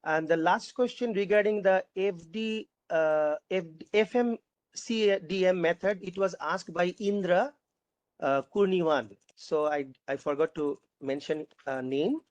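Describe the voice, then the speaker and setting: A panel moderator reading out audience questions, haltingly, often repeating and rephrasing. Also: mid-range voice, no echo, muffled recording.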